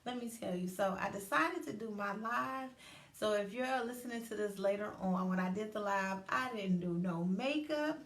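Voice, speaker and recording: A woman's voice: medium pitch, moderate pace. A woman, hosting her own show and chatting casually and animatedly on a livestream. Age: 30s. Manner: animated, chatty, acts out inner voices. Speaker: host. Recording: roomy echo, distant from mic.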